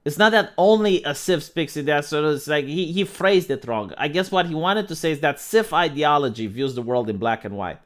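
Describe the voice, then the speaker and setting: Animated sarcastic streamer man. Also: on the high side. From Moldova. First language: Romanian. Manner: animated and sarcastic, repeats words when starting. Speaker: live streamer. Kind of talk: casual reaction commentary.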